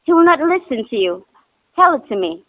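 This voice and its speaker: High-voiced anxious young daughter, played by a woman. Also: very animated, a little fast, no echo. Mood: earnest, respectful. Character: young devoted daughter of a bell maker, anxious and pleading. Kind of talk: stylized stage drama recital of formal archaic lines. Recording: muffled phone-like audio.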